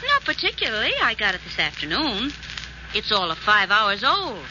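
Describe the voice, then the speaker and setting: An actress playing a detective's witty, sardonic young sweetheart, with dry playful quips and an American accent, in 1940s radio drama style. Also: female voice, medium pitch, sing-song, normal speed, background noise, close to an everyday voice. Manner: dry, playful, arch quipping. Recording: muffled old radio recording with music.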